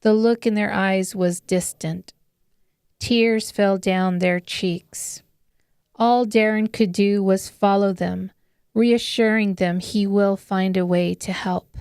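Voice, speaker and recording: Female narrator for dramatic fiction audiobook. Measured pace, resonant tone, very dry sound. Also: mid-range voice, steady tone, darkish tone, clean tone, no room sound. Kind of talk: scripted dramatic story narration.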